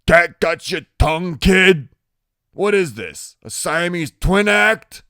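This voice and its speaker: A man's voice: sits high, lively intonation, slow, creaky, bright, forceful tone, no room sound, clean studio sound, voice pushed up, exaggerated voice. Man acting a menacing, mocking biker gang boss. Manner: curt, mocking, commanding.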